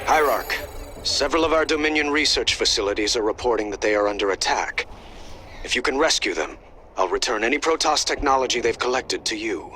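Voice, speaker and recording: A composed emperor played by a man speaks formally and earnestly in a mid-pitched voice. The measured, courteous game dialogue sits over clearly audible music. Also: animated, close to an everyday voice. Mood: businesslike, earnest. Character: composed, courteous human emperor directing his forces. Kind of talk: video game voice acting.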